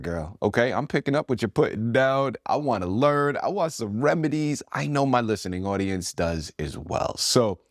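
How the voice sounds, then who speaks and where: A man's voice: lowish voice, dry recording, studio quality. A man hosting a talk show, chatting with enthusiasm and affirming his guest often, with a few restarts. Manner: animated, affirming, repeats himself. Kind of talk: enthusiastic interview chat.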